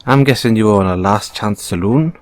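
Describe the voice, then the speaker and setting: A man with an Irish accent voicing a sly, playfully menacing bunny mechanic who is a mafia boss, in an intimate, teasing, unhurried audio roleplay style. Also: fairly low pitch, no room sound, close to an everyday voice. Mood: probing, menacing. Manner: teasing, unhurried, coaxing with tag questions.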